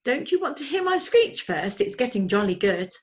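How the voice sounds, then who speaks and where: A woman voicing an eager, hungry little owlet boy who is afraid of the dark, with a high piping voice and an earnest, plaintive manner. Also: very animated, moderate pace, lo-fi sound.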